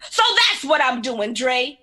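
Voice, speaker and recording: Earnest, confrontational younger sister for audio drama: very high, bright voice. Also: female voice, a little slow, exaggerated voice. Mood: earnest, emotional. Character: earnest, outspoken younger sister defending her choices.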